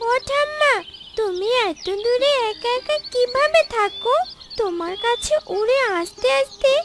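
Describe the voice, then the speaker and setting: A very high, childlike little bird girl for a children's cartoon. She is innocent and eager, with a pleading way of talking. Music plays prominently behind her. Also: expressive, a little slow. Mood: earnest pleading.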